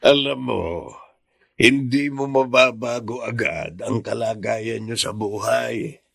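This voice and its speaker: An actor playing a patient, wise middle-aged neighbour with a gravelly voice, giving fatherly advice in a radio drama. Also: mid-range voice, steady tone, takes it slowly, a bit bright, no echo, studio quality, full character voice. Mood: encouraging. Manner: fatherly, advising.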